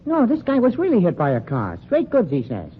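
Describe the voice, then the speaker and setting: An actor playing a slow-witted, sleepy night watchman who stammers nervously, in 1940s radio drama style. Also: sits high, huge pitch swings. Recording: tinny, noisy old radio recording.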